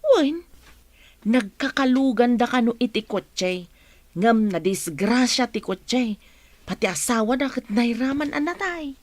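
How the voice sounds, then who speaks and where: An actress playing a blunt, gossipy female household helper in a radio drama, talking in an animated way. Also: fairly high pitch, measured pace, dry recording. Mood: gossiping. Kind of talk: Ilocano radio drama acting.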